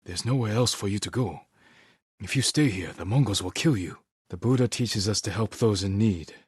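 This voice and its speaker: An actor playing a stoic, honorable samurai warrior in a video game, speaking in a measured, formal and grave way. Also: male voice, on the low side, slightly rough, no room sound, clean studio sound. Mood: calm, solemn. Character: stoic, honorable samurai warrior, adult man, solemn and protective. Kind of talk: dramatic video game acting.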